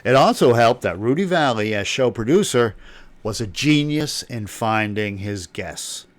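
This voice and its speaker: A man's voice: medium pitch, animated, measured pace, no echo. A man hosting a radio history show, reading scripted narration. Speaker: host of an old time radio retrospective.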